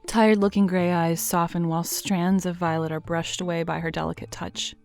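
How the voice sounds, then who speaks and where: A woman reading scripted narration for an audio drama, in a lively, expressive way. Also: fairly low pitch, brisk, gravelly, soft background music.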